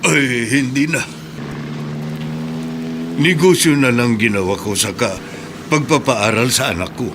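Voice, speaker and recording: A man acting as a sorrowful middle-aged widower in a radio play, earnest and heavy-hearted, voice sitting at a middle pitch. behind him runs a clear hum of noise with traffic going past. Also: a little slow, pauses often, lightly acted. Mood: sad. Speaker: radio drama actor.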